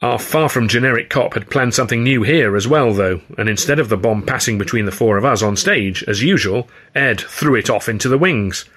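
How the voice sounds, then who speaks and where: A male narrator reads a novel with an expressive storyteller's delivery. His voice is bright and forward, with a husky edge, at an ordinary male pitch. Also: brisk, few pauses. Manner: expressive storytelling with acted dialogue. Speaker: author reading own novel. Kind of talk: scripted first-person audiobook narration.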